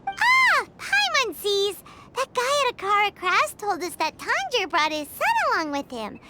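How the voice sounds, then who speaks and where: A tiny, chirpy fairy sidekick from a video game. The voice is extremely high and childlike, wildly animated in pitch and quick to exclaim. There is clear noise behind it. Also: female voice, full character voice. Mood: surprised. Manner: refers to self in third person, exclaiming.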